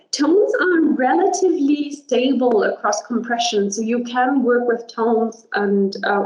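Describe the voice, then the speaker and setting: A young woman, a linguist whose first language is German, giving a webinar talk with many ums and a frequent "right?". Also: on the high side. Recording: roomy, dull video call audio.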